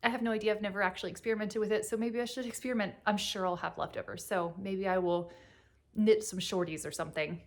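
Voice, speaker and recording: Young female podcast host, casual and rambling, mid-pitched, sniffly from a cold. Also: steady tone, fast talker. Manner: rambling, chatty, with frequent fillers and laughs. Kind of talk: casual chat.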